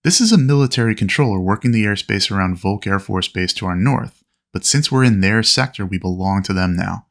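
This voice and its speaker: A man with a fairly low voice narrates as a flight instructor. He explains methodically, in an orderly teaching style suited to a flight training lesson. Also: lively intonation, a little fast. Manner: clear, methodical explaining with aviation radio phraseology.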